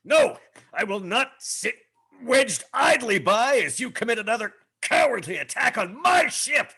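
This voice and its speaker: Pompous, shrill, husky alien captain. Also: male voice, sing-song, a little slow, sharp tone, heavily acted. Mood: indignant outrage. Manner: haughty, florid, blustering diction. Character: pompous, indignant male alien navy captain. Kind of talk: animated comedy table read.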